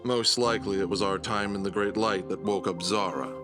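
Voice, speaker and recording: Low-voiced, grave and wary adventurer played by a man. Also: fairly quick, music in the background, natural voice. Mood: grave, dry. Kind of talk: scripted fantasy audio drama acting.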